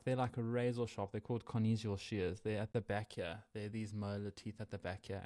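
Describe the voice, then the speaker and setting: A young man with a South African accent, co-hosting a casual wildlife podcast with relaxed, rambling explanations and frequent laughs. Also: on the low side, dry recording. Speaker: co-host.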